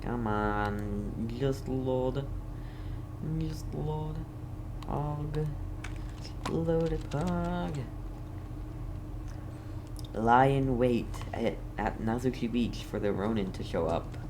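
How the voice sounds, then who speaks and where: A man's voice: mid-range voice, very slow, long pauses, background noise. A man giving casual gameplay commentary as a streamer, in an offhand, playfully repetitive mutter. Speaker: game streamer.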